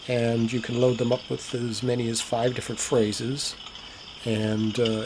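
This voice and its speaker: Clear background noise surrounds a man with a creaky, mid-pitched voice. He narrates a hobby demo casually and plainly, sounding like a home camera recording. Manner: plain-spoken, matter-of-fact. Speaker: hobbyist demonstrator. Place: indoor hobby workbench.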